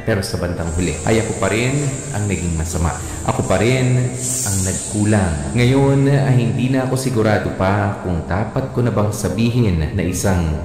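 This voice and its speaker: A man's voice: lowish voice, lively intonation, a little mellow, close to an everyday voice. An actor playing a heartbroken, sincere husband telling his story as radio drama narration. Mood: sorrowful. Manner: sorrowful, deliberate storytelling. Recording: very echoey hall with faint music.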